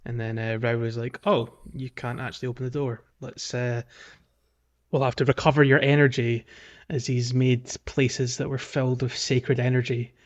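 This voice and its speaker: A man's voice: medium pitch, little pitch movement, moderate pace, highs cut off. A man co-hosting a casual gaming podcast, often hesitating and rephrasing himself as he talks. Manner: hesitant, self-correcting phrasing. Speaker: podcast co-host.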